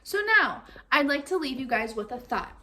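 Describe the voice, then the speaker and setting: A woman reading a picture book aloud like a teacher, expressive and speaking directly to young listeners. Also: high voice, moderate pace. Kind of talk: read-aloud storytelling with comprehension questions.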